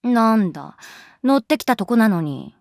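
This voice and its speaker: A woman playing a cool, caring teacher in a Japanese voice drama, talking in a laid-back, gently teasing way. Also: on the high side, very animated, moderate pace, no echo, studio quality. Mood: puzzled, gentle. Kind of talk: Japanese voice drama acting, conversational.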